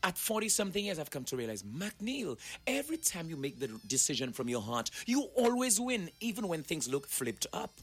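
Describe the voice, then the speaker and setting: Man, 40s, motivational guest. Slightly high, husky voice, preachy and emphatic. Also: big pitch swings, a little fast, hardly any pauses, fairly bright tone. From Saint Lucia. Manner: preachy, emphatic, addresses listeners directly. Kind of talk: animated motivational talk.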